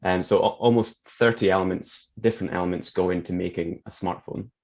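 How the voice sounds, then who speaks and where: A young man with a Scottish accent, explaining things in an interview in a measured, plain-spoken way. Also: low-pitched, fairly even intonation, gravelly, lo-fi sound. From north of Scotland. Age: young adult. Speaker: chemistry researcher being interviewed.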